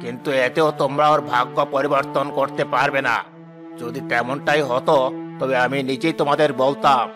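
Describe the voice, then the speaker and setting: A man playing a stern, solemn, admonishing prophetic sage in an animated fairy tale. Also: mid-range voice, moderate pace, over music, lightly acted. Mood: reproachful.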